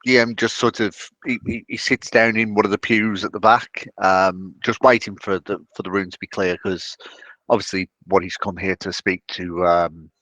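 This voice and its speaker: A man playing a tabletop game, haltingly describing what his character does as he searches for words. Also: low voice, steady tone, bright, forceful tone, no echo, low-quality recording.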